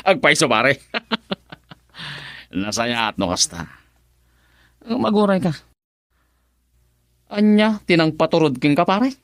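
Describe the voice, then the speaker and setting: A sly, laughing drug-runner. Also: male voice, fairly high pitch, slow, long pauses, no echo, clean studio sound, full character voice. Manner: laughing, teasing, lively. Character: sly grown man, cheerful drug-runner friend. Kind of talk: radio drama acting.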